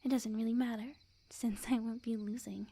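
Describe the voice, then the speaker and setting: A woman playing a sweet, smug, possessive witch in love, in a soft, breathy ASMR roleplay addressed to the listener. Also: a little high, little pitch movement. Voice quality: soft, breathy, often whispered. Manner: slow, coy, teasing, overconfident.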